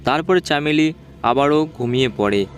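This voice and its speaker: A man's voice: a little high, lively intonation, moderate pace. A man narrating a ghost story for a cartoon in simple, informal, repetitive spoken language. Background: background music.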